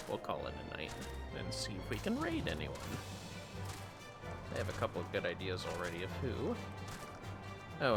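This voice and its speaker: A man's voice: sits low, vocal fry. A man streaming a game with casual commentary, half talking to himself and sounding a little tired. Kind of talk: casual livestream commentary while playing.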